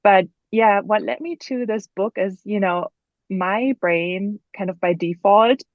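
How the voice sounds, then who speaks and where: A woman's voice: mid-range voice, unhurried, no echo, lo-fi sound. A woman with a German accent, a guest author telling her story in a casual podcast interview, earnest and thoughtful with many fillers.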